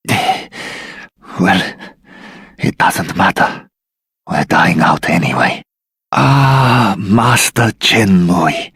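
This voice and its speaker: A resigned, world-weary dragon-folk figure in a fantasy game. The voice is deep and very hoarse, with halting phrases that drag out slowly. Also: male voice, fairly bright tone, dry recording, clean studio sound, exaggerated voice. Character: weary, resigned male villager of dying dragon race. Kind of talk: scripted game voice acting.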